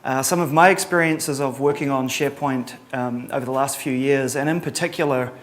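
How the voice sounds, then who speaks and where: A middle-aged man from New Zealand with a Kiwi accent, giving a relaxed conference talk with lots of ums and a dry sense of humor. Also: medium pitch, brisk. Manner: casual, many ums and uhs, dry humor. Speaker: conference presenter.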